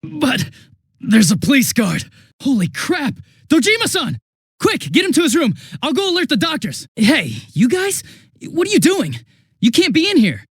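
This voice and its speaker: A man voicing a young police detective in a video game, affable and easily flustered, with a casual, hesitant and excitable way of talking. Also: very high voice, moderate pace, no echo, clean studio sound, exaggerated voice. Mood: flustered, alarmed. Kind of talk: English video game voice acting.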